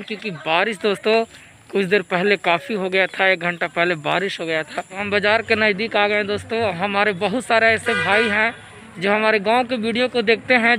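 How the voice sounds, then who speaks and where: A man's voice: high-pitched, bright, forceful tone. A young man vlogging on a phone held at arm's length, chatting casually to his viewers as he walks outdoors to a busy village market. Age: young adult. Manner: chatty, addresses viewers as friends. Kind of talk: casual daily vlog narration. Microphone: phone camera held at arm's length. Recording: crowd and traffic noise behind. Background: market crowd and passing vehicles.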